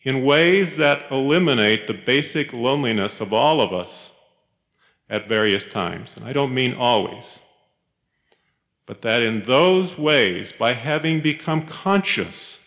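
A man, a professor, giving a reflective, sermon-like chapel talk in a measured storytelling manner, with pauses. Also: mid-range voice, expressive, a bit bright. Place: large chapel, speaking to a congregation. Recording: reverberant chapel hall, 1990s cassette tape.

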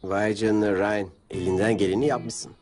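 A man playing an earnest, modest young doll boyfriend in an animated cartoon dub, with a sincere, boyish delivery. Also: fairly low pitch, normal speed, music in the background, lightly acted. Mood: modest, sheepish.

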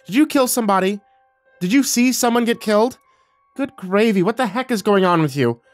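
A man's voice: on the high side, big pitch swings, brisk, a little mellow, no room sound, faint music behind, voice pushed up. A man voicing an older mother who is passive-aggressive, pouty and pointed, in a dramatized story. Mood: disapproving. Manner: accusatory, guilt-tripping, pointed.

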